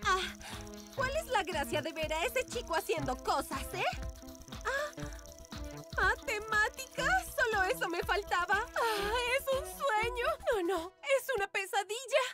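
A vain young cartoon diva with a very high, swooping voice. She is theatrical, whiny and self-absorbed. Music plays clearly behind her. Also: animated, measured pace. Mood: distressed, shocked. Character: vain, dramatic young blonde model and internet diva. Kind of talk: exaggerated cartoon dubbing.

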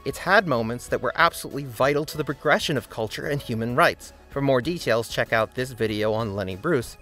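A man's voice: medium pitch, a bit bright. A man narrating his own scripted video essay, earnest and argumentative, over faint background music.

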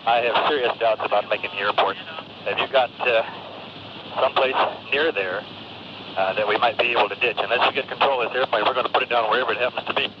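A man's voice: medium pitch, steady tone, quick pace. A man speaking matter-of-factly as an airline pilot over cockpit radio. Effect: radio transmission. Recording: muffled, noisy cockpit radio recording.

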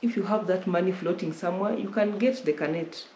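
A woman's voice: lowish voice, fairly quick, mellow. Kenyan woman giving road trip tips. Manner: repeats and rephrases while explaining. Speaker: travel vlogger host. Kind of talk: casual Q&A advice. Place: indoor living room, on a sofa. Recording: roomy with faint noise.

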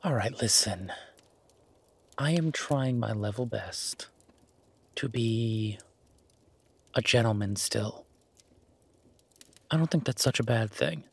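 A man playing a shy, gentlemanly boyfriend in an intimate romantic audio roleplay, speaking softly and haltingly with gentle teasing. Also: medium pitch, lively intonation, vocal fry, breathy edge, full character voice. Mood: tender, hesitant. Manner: hesitant, soft-spoken, gently teasing.

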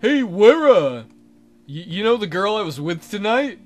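A man's voice: sits high, big pitch swings, a little slow, faint music behind. A man voicing a clingy, lovelorn teenage boy from a dating game, reading the dialogue earnestly and dramatically. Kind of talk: visual novel dialogue read aloud.

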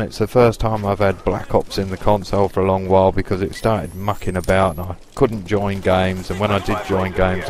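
A man in his late forties with a Lancashire accent, chatting casually and rambling cheekily over his own video game footage. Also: sits low. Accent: Lancashire English. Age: 40s. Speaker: gaming YouTuber. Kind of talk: casual gameplay commentary.

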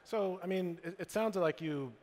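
A man's voice: high voice, moderate pace, darkish tone. A middle-aged man asking questions conversationally as the interviewer on a stage. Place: stage at a conference, indoors. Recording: reverberant conference hall.

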